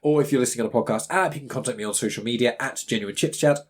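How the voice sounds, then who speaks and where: A man's voice: medium pitch, very fast. A British man hosting a podcast, chatting casually in a rambling, conversational way. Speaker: podcast host.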